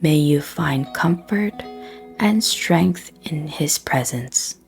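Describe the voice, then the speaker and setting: Slow, low-voiced woman guiding meditation. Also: lively intonation, faint music behind. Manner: soft, breathy, unhurried delivery with frequent pauses. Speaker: guided meditation and prayer leader.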